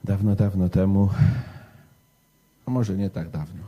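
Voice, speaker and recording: A man telling a bedtime parable and short homily to young people in a measured, deliberate way, like a preacher. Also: sits low, fairly even intonation, slightly rough, highs cut off. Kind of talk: storytelling and short homily to a youth gathering.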